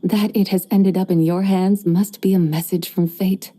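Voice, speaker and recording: A woman voicing a serene, graceful immortal who speaks in measured, formal phrases in a video game. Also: fairly low pitch, little pitch movement, dark tone, lightly acted.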